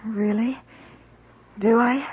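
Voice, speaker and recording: On a tinny, narrow radio recording, a young woman in a drama. She speaks slowly in short, detached answers, withdrawn and drained of interest. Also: a little high, breathy edge, exaggerated voice. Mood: listless. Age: young adult. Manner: flat, detached, terse. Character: listless, withdrawn young daughter, like a sleepwalker. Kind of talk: old-time radio drama acting.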